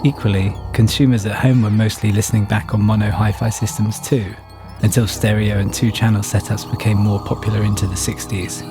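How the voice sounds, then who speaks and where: A man with a fairly low voice narrates his own story in the first person. The delivery is scripted, and music plays clearly behind him. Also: fairly even intonation, a little fast.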